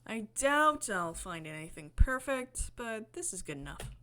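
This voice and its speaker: A woman's voice: medium pitch, big pitch swings, unhurried, pushed and bright. A woman streaming a visual novel, reading the narration aloud in a casual way with her own commentary. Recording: game music behind the voice. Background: guitar game music.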